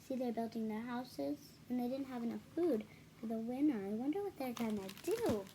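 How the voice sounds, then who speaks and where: A woman's voice: fairly high pitch, lively intonation, normal speed, background noise. A woman reading a children's picture book aloud, adding casual explanatory asides for young listeners. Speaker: read-aloud storyteller for children.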